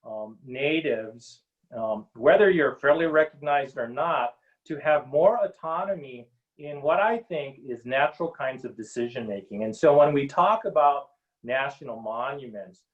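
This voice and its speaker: A middle-aged man from Hawaii speaking earnestly as a panel guest on a video call, with many pauses and ums. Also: mid-range voice, muffled recording. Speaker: panel guest, Native policy advisor. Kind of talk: webinar panel talk.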